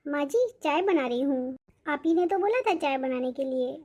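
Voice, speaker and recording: A woman's voice: high voice, lively intonation, moderate pace, darkish tone. A woman voicing a put-upon young mother and daughter-in-law in a cartoon family drama, polite but aggrieved. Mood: polite, dutiful.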